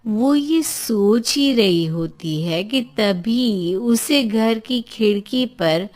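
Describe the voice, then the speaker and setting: A woman narrating a scripted story as its storyteller. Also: mid-range voice.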